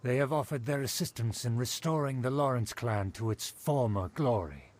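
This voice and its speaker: An actor voicing a pompous, proud middle-aged nobleman in a video game, who speaks formally and slowly. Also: mid-range voice, expressive, a little mellow, natural voice. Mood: haughty. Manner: pompous, formal and slow-spoken. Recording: game audio with faint music behind.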